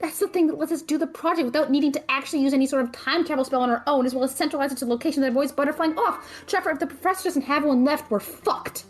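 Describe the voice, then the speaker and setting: A woman playing a sharp-tongued, responsible female student mage in an audio drama, blunt and sardonic. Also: high-pitched, racing, few pauses, close to an everyday voice. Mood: exasperated.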